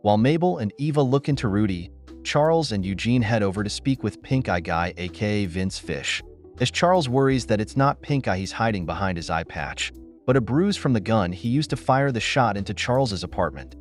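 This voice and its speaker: A man's voice: on the low side, animated, brisk, faint music behind. A man reading a scripted recap narration as the host of a breakdown video. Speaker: host of a TV episode breakdown channel.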